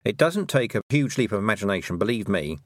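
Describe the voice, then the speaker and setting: A shrewd witch woman from a fantasy audiobook, played by a male narrator. She is brisk and dry-witted, firing off knowing questions and remarks with a lively lilt. The recording is close and dry. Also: on the low side, no room sound. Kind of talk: audiobook character dialogue.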